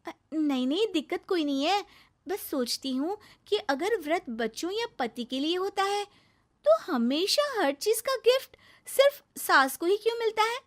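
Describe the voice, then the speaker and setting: Woman acting young bride, cartoon moral tale, high voice, lively intonation, outspoken, questioning. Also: normal speed. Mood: earnestly questioning. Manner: exclaiming, questioning, emphatic. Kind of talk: animated moral story dialogue.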